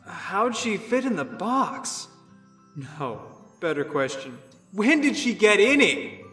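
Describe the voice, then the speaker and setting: A man's voice: sits high, very animated, slow, fairly bright tone, soft background music, heavily acted. A man voicing an easygoing young male pony, a caring big brother in a story. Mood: baffled.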